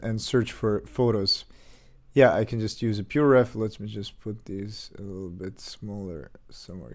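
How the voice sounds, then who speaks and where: A man streaming his game development live, chatting casually with fillers and restarts while getting over an illness with a slight cough. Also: lowish voice. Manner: relaxed, rambling, many fillers and restarts.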